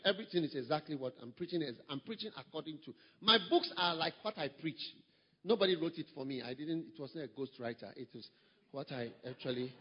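Husky male pastor, fairly high voice, preaching emphatically; dull recording with a long hall echo. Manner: emphatic, repetitive preaching with surges in volume. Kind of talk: sermon.

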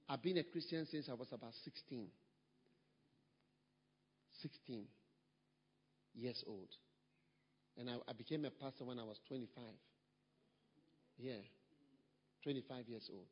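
A man pastor preaching a sermon, emphatic and repetitive, with sudden surges in volume. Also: mid-range voice. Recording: long hall reverb, dull sound.

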